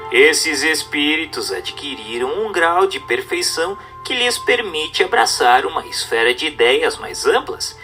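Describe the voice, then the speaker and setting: A man's voice: mid-range voice, pushed and bright. A Brazilian man reading a scripted spiritual text in a formal, instructive manner. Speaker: narrator. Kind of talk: scripted narration of a spiritist book.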